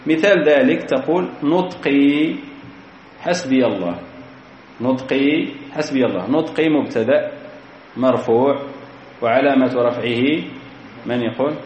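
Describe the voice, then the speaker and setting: A man's voice: mid-range voice, unhurried, pauses often, a little mellow, a little hiss or hum. A man giving a grammar lesson in a methodical way, repeating key phrases and quizzing his students. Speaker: grammar teacher. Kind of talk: classroom grammar lecture. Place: lecture hall. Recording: echoey hall, dull lo-fi recording.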